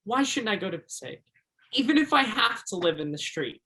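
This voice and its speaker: An actor in a stage play as an earnest, romantic teenage high school boy with a theatrical way of talking. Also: big pitch swings, a little fast, gravelly, sharp tone, breathy edge, full character voice. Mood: frustrated, defiant.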